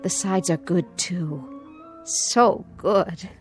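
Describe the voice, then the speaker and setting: An actress playing an anxious, doting aunt in a radio drama, sweetly coaxing and nervously repeating herself. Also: female voice, lowish voice, drawn-out words, soft background music. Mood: coaxing, placating. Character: anxious, doting aunt placating a feared nephew.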